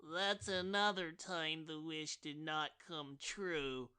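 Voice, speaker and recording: A man reading a storybook voices two young children making wishes over a wishbone, with a raised childlike pitch. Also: steady tone, takes it slowly, pushed and bright, clear, resonant tone. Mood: let down. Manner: raised childlike pitch, eager then let down.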